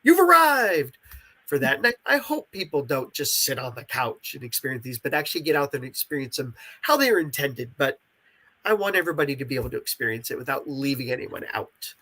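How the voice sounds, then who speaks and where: A man's voice: mid-range voice, big pitch swings, fairly quick, sharp tone. A man with a congested, nasal voice talking enthusiastically and explaining his creative work in a casual interview.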